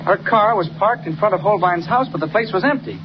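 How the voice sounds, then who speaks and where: Through a muffled, noisy 1940s radio recording comes an American young-sounding reporter hero with a high-pitched voice. He is earnest and assured, pressing each point with urgency in old serial style. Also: lively intonation, fairly quick. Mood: worried, alert. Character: earnest, quick-witted male newspaper reporter hero.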